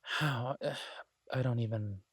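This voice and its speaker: A man playing an exasperated restaurant chef venting to his partner in a halting, grumbling audio roleplay. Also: medium pitch, a bit bright, slightly rough, dry recording. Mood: flustered, weary. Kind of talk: boyfriend audio roleplay, conversational monologue.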